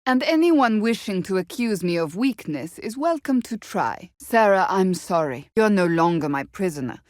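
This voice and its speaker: A woman's voice: medium pitch, expressive, moderate pace, darkish tone, dry recording, clean studio sound, close to an everyday voice. An actress voicing a stern, devout warrior woman in a fantasy video game, speaking in a formal, blunt, commanding way. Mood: stern, earnest.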